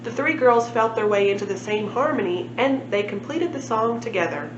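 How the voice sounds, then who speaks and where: A woman's voice: fairly high pitch, animated, moderate pace. A woman reading a children's storybook aloud as a library storytime narrator. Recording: echoey room, muffled, clear background noise.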